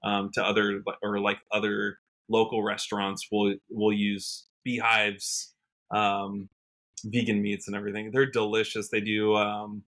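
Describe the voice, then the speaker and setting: Bright-voiced young American man chatting. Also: fairly low pitch, monotone, unhurried. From Washington state, United States. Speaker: podcast guest, country musician. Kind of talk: casual interview chat.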